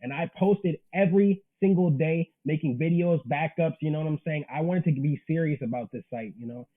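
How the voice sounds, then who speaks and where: A man's voice: on the high side, a little fast, phone-quality sound. A man in his twenties chatting as an interview guest, earnest and slangy. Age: 20s. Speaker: interview guest, manga creator. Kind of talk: casual interview chat.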